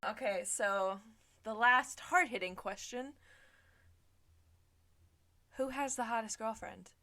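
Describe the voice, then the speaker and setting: Bright, teasing young woman host. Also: fairly high pitch, slow. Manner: teasing, playful. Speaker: podcast co-host. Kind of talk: casual podcast chat.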